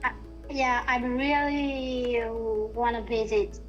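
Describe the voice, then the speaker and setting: Hesitant high-voiced Thai woman. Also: steady tone, slow pace, dull audio, soft background music. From Thailand. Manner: hesitant, searching for words with many fillers. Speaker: guest, university student. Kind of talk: casual language-practice chat.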